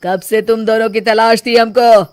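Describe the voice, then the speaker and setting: Commanding police officer, voiced by a woman, fairly high. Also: flat delivery, normal speed, no echo, clean studio sound, lightly acted. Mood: stern. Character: stern, authoritative police officer.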